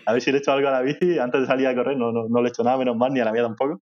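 A man with a strong Murcian accent, a podcast guest telling quick anecdotes in casual chat. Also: mid-range voice, level tone. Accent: Murcian Spanish. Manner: quick anecdotal storytelling with laughs. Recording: dull lo-fi remote audio.

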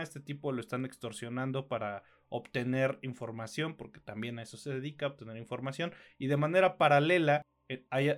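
A man with a Mexican accent talks as a podcast co-host. His delivery is casual and conversational, with a blunt way of putting things and crude slang. His voice sits at an average pitch for a man. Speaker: podcast co-host reviewing films and series. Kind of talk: casual review chat.

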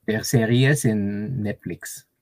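A man from Taiwan with a Taiwanese accent, a guest speaking Spanish as a learner, halting with many fillers and restarts. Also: lowish voice, steady tone, no echo. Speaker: interview guest. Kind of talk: casual conversation.

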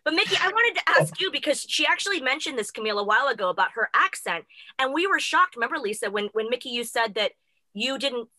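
A woman hosting a podcast, chatting in a quick, upbeat and talkative way. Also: sits high, lively intonation, sharp tone, no echo, poor audio. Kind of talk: casual chat.

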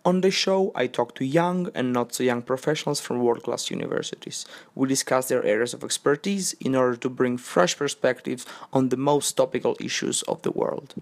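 Gravelly young podcast host, echoey. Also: male voice, mid-range voice, moderate pace, few pauses. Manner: formal, read-aloud delivery. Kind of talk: scripted podcast intro and questions. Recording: echoey room, faint hiss.

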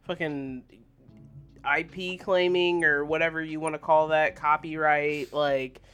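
A man's voice: on the high side, flat delivery, a little slow, a bit bright, smooth. A man co-hosting a podcast, chatting casually in a blunt, informal way. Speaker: podcast co-host. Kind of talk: casual chat about entertainment news.

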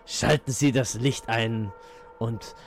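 A man's voice: medium pitch, fairly even intonation, slow. Man, podcast host, mid-range voice, casual and joking, loose swearing, eerie ambient music behind. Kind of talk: casual chat with playful intro reading.

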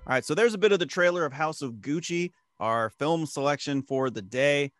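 A man's voice: fairly high pitch, animated, fairly quick, loud music behind the voice. A man co-hosting a movie podcast, chatting casually and jokingly, often slipping into silly put-on accents. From American Midwest. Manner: jokey, puts on silly accents. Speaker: podcast co-host. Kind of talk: casual chat.

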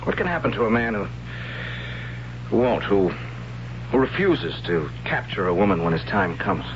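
A man's voice: on the low side, very animated, moderate pace, background noise. An actor playing a timid young tribesman who narrates his story in a wry, hesitant way, in a 1970s radio drama. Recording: dull old radio broadcast with music.

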